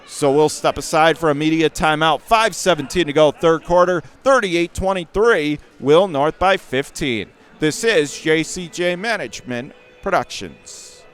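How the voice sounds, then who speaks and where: A man with a Western New York accent calling a high school basketball game live on radio, rattling off plays and rising to shouts on big moments. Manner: rapid calls that surge to excited shouts on big plays. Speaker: radio play-by-play announcer.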